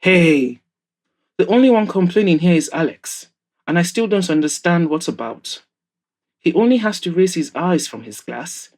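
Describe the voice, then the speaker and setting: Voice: medium pitch, darkish tone. A narrator voicing a cheeky, teasing bachelor friend in an audio story. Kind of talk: audiobook-style character dialogue.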